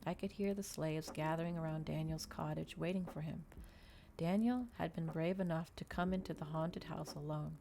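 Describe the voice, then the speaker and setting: A woman doing a soft, unhurried ASMR reading of a novel, with small stumbles and restarts. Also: low voice, creaky, slight background noise. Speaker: streamer reading a novel aloud. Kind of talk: ASMR audiobook-style reading.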